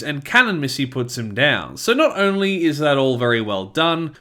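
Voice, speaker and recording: A man's voice: medium pitch, fairly bright tone. A man hosting a scripted review in a conversational, opinionated way. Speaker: reviewer and host. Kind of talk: scripted audio drama review.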